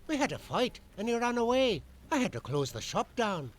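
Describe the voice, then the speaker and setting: A man voicing a pirate shopkeeper in a video game, with a creaky voice and salty pirate dialect. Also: very high-pitched, big pitch swings, normal speed. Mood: wistful, remorseful. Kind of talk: cartoonish game character acting.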